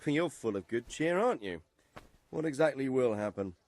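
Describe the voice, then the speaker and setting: An actor playing a cautious, protective nobleman in a medieval game, measured and authoritative. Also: mid-range voice, animated, darkish tone, lightly acted. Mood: questioning. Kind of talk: video game cutscene acting.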